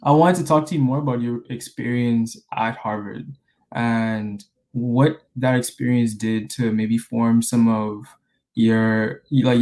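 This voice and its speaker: A college senior in his twenties from the Bahamas, hosting a podcast interview in a relaxed way with lots of fillers. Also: medium pitch, vocal fry, poor audio. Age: 20s. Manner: relaxed, many fillers like you know and like.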